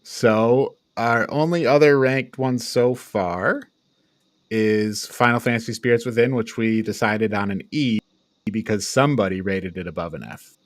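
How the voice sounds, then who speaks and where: A man's voice: on the low side, measured pace, no room sound. Casual male podcast host, dry close sound. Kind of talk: casual panel movie review chat.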